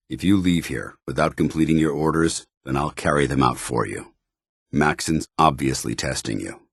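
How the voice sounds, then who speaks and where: An actor playing a stoic, honour-bound android soldier in a video game, tormented yet earnest, with formal military diction. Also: male voice, a bass voice, slightly rough, no echo, studio quality, exaggerated voice. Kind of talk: video game companion dialogue acting.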